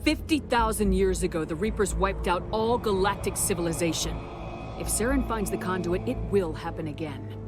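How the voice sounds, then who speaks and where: A woman's voice: fairly low pitch, moderate pace, over music, close to an everyday voice. Actress as a resolute female military commander. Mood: firm, insistent. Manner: firm, direct. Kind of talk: video game cutscene acting.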